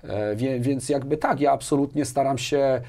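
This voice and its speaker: A man's voice: medium pitch, normal speed, mellow. A man around his forties giving conversational interview answers in a rambling way, repeating words and tagging phrases with little questions. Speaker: interview guest, corporate manager. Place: small furnished studio, indoors. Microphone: lapel mic.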